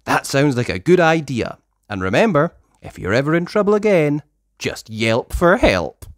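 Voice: mid-range voice, very animated, moderate pace, no echo, studio quality, close to an everyday voice. A storybook reader voicing a confident, encouraging boy who leads rescue pups. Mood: brisk, upbeat. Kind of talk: children's storybook character voice.

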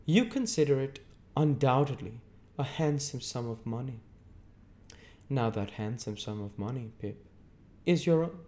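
A man's voice: medium pitch, expressive, a little slow, darkish tone, pitched above the performer's own voice, natural voice. A man putting on a stern cross-examining lawyer. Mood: pronouncing. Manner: clipped, cross-examining, emphatic. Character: stern commanding middle-aged male lawyer.

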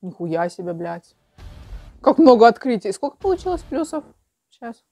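A woman's voice: a little high, sing-song, normal speed, creaky, warm tone, dry recording, soft background music. Young woman, dry close sound, casual reactions. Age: young adult. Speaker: reaction video host. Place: small room at home with bookshelves.

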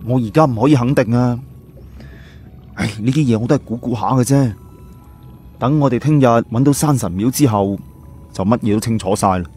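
A man's voice: medium pitch, fairly quick, long pauses, a little mellow, a little hiss or hum, lightly acted. A man playing an earnest, thoughtful young adventurer in an audiobook, explaining plans seriously. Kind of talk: audiobook character acting.

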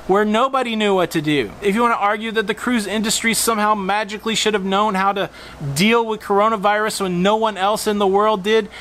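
A man's voice: high-pitched, steady tone, a little fast, fairly bright tone, a little hiss or hum. A man in his forties hosting a travel vlog, making a casual, argumentative case full of rhetorical questions and punchy emphasis, filmed outdoors on a pool patio. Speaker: host of a cruise travel channel.

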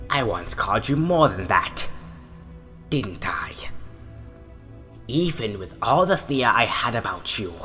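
A man voicing a betrayed skeleton hero turned grimly vengeful, speaking theatrically with dramatic pauses in a comic dub. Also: medium pitch, sharp tone, full character voice. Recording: music behind the voice.

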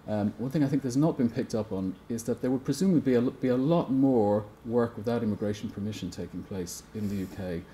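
A man in his forties giving a measured academic panel talk in a seminar room, hesitant with frequent restarts. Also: mid-range voice, dark tone, slight background noise. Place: seminar room at a conference table.

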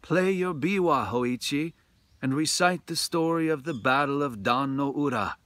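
A man's voice: on the high side, unhurried, a bit bright, no room sound, voice pushed up. A man voicing a courtly female head attendant, formal, gracious and stern, in a ghost story. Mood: formal, commanding.

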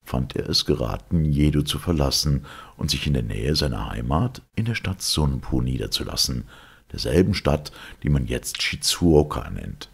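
A man with a gravelly, husky voice reads a legend aloud as a storyteller, in a measured, literary manner. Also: very deep voice. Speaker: audiobook reader. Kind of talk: scripted storytelling narration of a legend.

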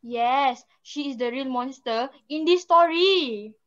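A woman's voice: sits high, slow. A teenage girl with a Singaporean accent playing an aggrieved fairy-tale grandmother who complains theatrically. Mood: accusing. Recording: muffled video-call audio.

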